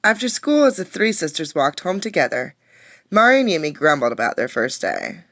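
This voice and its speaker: A woman reading a picture book aloud as a teacher, expressively, pausing to put questions to young listeners. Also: low-pitched, no echo. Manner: expressive storytelling, addressing young listeners directly. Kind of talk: interactive children's read-aloud with questions.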